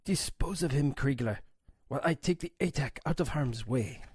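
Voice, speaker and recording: A man's voice: medium pitch, animated, normal speed, a little mellow, slightly rough, dry recording, studio quality. A man voicing a greedy, treacherous villain with a commanding, scheming manner in an audio drama.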